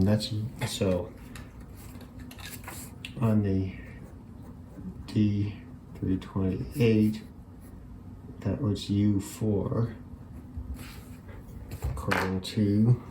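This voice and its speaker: Low, flat-voiced man thinking aloud. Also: noisy background. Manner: halting, thinking aloud while examining parts. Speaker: host of an electronics teardown video. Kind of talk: casual unscripted hands-on demonstration talk.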